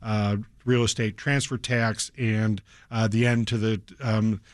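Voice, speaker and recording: A man with a mid-range voice speaking slowly and deliberately on a radio panel, stopping often to search for the right word. His voice sounds close and dry. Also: lowish voice, little pitch movement, no echo. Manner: measured, deliberate, pauses to search for words. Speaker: panelist, good-government advocate. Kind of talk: radio news roundtable.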